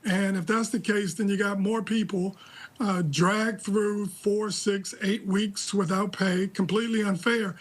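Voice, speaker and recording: An American man with a notably high voice, speaking as a news analyst. His delivery is measured and deliberate, with a critical edge. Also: fairly even intonation. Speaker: political analyst, interview guest. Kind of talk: live news commentary.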